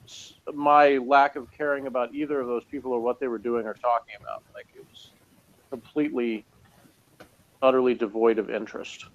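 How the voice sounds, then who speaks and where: Casting: tired man, mid pitch, rambling co-host, muffled lo-fi sound. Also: fairly even intonation, brisk. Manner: rambling, hesitant, many fillers. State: tired, yawning. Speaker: podcast co-host reviewing a TV episode. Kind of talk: casual chat.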